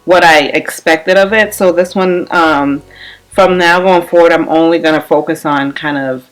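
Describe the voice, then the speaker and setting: A woman's voice: low-pitched, moderate pace, faint music behind, rough recording. A woman in her late twenties vlogging casually, rambling and repeating herself with lots of fillers. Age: 20s. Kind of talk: casual chat while doing her hair.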